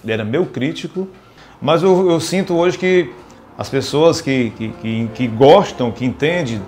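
A man's voice: on the high side, animated, a little slow, darkish tone. A man, reminiscing in an interview and often repeating words as he searches for the next. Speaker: radio broadcaster being interviewed. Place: small indoor office or studio room. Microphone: lapel mic. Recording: clear background room noise.

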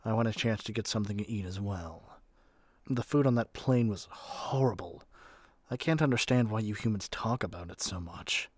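A man's voice: on the low side, normal speed, dry recording, clean studio sound, close to an everyday voice. Man acting out a haughty, impatient god of magic. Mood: impatient, exasperated. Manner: imperious, theatrical, addresses listener as mortal. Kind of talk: ASMR audio roleplay.

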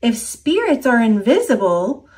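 A woman's voice: fairly high pitch, big pitch swings, measured pace, a little mellow. A woman with an American accent voicing a curious, wistful little girl from a storybook, earnest and questioning.

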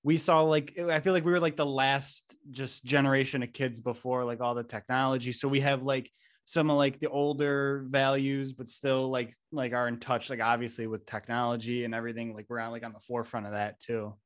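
A man's voice: mid-range voice, level tone, brisk. A man in his mid-twenties hosting a podcast, chatty and loose, filling his talk with likes, speaking into a desk microphone in a small room. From Niagara Falls. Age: 20s. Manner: chatty, lots of filler likes. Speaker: podcast host. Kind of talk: casual interview chat.

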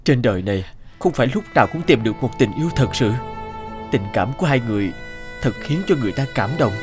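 A man's voice: medium pitch, lively intonation, fast, music in the background, lightly acted. A man playing a stern but merciful king in a folk-tale cartoon, solemn and commanding. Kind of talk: cartoon voice acting.

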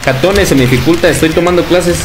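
Chatty Mexican man streaming over loud game music. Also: a little high, quick pace. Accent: Mexican Spanish. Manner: chatty, laughs often, calls viewers brother. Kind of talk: casual livestream chat reading viewer comments. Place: indoor room at home.

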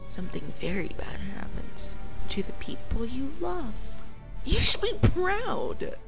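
A woman's voice: high voice, wildly swooping pitch, a little slow, heavily acted. A woman playing a smug, scheming young villainess witch in a fantasy drama fan dub, with a taunting, theatrical delivery. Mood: smug, menacing. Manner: taunting, theatrical, breathy asides. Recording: dramatic music under the voice.

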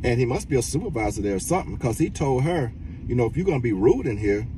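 A man's voice: sing-song, fast talker. Blunt middle-aged man ranting from his car. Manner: blunt, profane, rising exasperated emphasis. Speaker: reaction vlogger. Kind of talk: casual commentary rant. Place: inside a parked car. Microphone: phone camera at arm's length. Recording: car rumble and street noise, distant mic.

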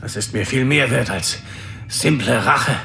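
A man's voice: medium pitch, unhurried, gravelly, bright, forceful tone, slight background noise. A man playing a stern father and leader of fugitives, explaining things with authority. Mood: firm. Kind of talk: audio drama acting.

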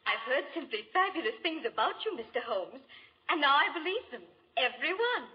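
A woman's voice: high-pitched, very animated, fairly quick. An actress playing a vivacious young aristocratic woman in a 1940s radio drama, effusive and breezy. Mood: gushing. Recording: dull 1940s radio recording.

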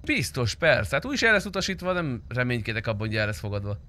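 A young man with a bright, forceful voice at a middle pitch improvises a roleplay negotiation while streaming. He talks casually and insistently, with slang and the odd swear word, close to the microphone. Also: sing-song. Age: young adult. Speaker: streamer playing a robber in online roleplay. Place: indoor streaming room.

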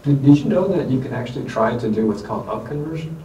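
A man's voice: mid-range voice, lively intonation, quick pace, warm tone, slight background noise. A man on a science panel answering audience questions, explaining with everyday analogies in a lecture room. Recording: echoey room from far off.

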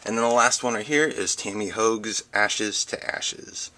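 A man's voice: fairly low pitch, a little slow. A man chatting casually and hesitantly as he presents a collection item by item on a home video. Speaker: book vlogger. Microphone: handheld camera, close behind it. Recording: noisy home camera audio with handling clicks.

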